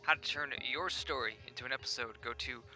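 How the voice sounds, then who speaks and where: A man's voice: mid-range voice, quick pace, bright, forceful tone, low-quality recording. A man hosting a podcast, reading scripted episode credits in an announcer's role. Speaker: host, producer. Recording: music playing behind voice. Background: music.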